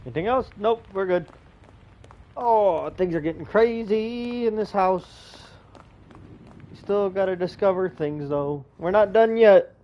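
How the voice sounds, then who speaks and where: A man's voice: sits high, measured pace, highs cut off. A man giving casual gameplay commentary, thinking aloud in an informal way. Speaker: video game streamer.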